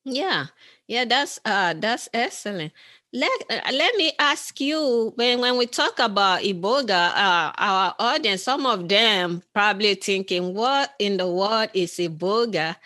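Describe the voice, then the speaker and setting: Slow-talking interviewer from Nigeria. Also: male voice, high voice, animated, pushed and bright, dry recording. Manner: slow, repeats and rephrases questions. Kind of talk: remote interview.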